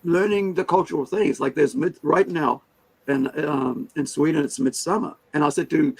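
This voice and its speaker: A man's voice: on the high side, normal speed, gravelly, darkish tone, rough recording. A man whose first language is Hindi, hosting a casual interview, talking in a rambling way full of fillers and restarts. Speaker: podcast host.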